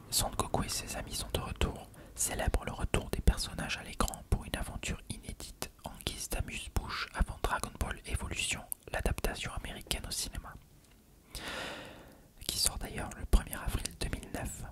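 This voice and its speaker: ASMR reader, fully whispered and breathy, for read-aloud factual text. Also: moderate pace. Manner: reading aloud. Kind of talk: whispered ASMR reading of an encyclopedia article.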